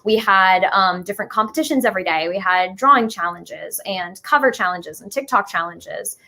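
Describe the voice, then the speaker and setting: A woman's voice: medium pitch, normal speed, bright, forceful tone. Female, 20s, chatty and informal interview guest with a mid-range voice. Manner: chatty, informal, hedging with "like" and "sort of". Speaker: musical writer and guest being interviewed. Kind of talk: casual interview chat.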